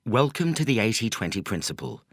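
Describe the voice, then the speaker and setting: A man reading a nonfiction audiobook as a scripted narrator, in measured, deliberate phrases with emphatic stresses. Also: lowish voice, very animated, slightly rough, no room sound, studio quality.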